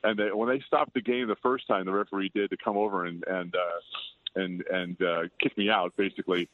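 An older man chatting as an interview guest, loud-voiced, rambling and self-deprecating. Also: sits low, steady tone, fairly quick, no echo. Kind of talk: casual interview chat. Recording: tinny dry phone line.

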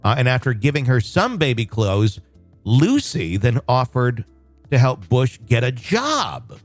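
A man's voice: mid-range voice, wildly swooping pitch, measured pace, dry recording, soft background music. A man hosting a casual podcast, rambling with fillers and restarts. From northwest Arkansas, USA. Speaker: podcast host.

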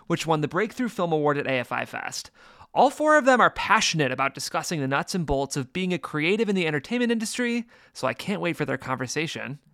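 A man's voice: on the high side, fairly bright tone, no room sound, studio quality. A man reading a scripted, upbeat introduction for a podcast episode. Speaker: show announcer.

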